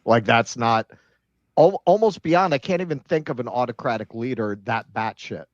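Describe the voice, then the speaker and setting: A man co-hosting a political show, giving casual, sardonic commentary with halting, repeated starts. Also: medium pitch, no echo, highs cut off. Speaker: political talk show co-host.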